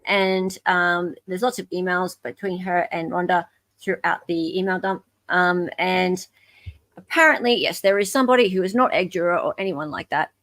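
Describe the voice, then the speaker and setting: A woman's voice: lowish voice, normal speed, a bit bright, no echo. A woman with an Australian accent hosting a casual live group chat in a chatty, informal, moderating way. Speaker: livestream host.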